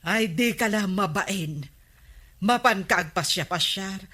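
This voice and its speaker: A woman's voice: mid-range voice, measured pace, pushed and bright. A woman playing the scolding, exasperated wife of a village captain in an Ilocano radio comedy drama, with an Ilocano accent. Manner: nagging, questioning.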